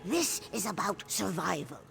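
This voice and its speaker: A woman playing a scheming older orc queen mother in a fantasy video game, cold, condescending and measured, with a gravelly voice. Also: lowish voice, big pitch swings, full character voice. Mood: cold resolve. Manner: measured, mocking, menacing. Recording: music playing clearly behind the voice.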